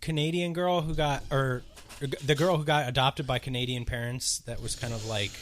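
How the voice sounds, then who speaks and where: Bright-voiced man in casual review chat. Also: medium pitch, fairly even intonation, a little hiss or hum. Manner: halting, restarts, acts out lines in put-on voices.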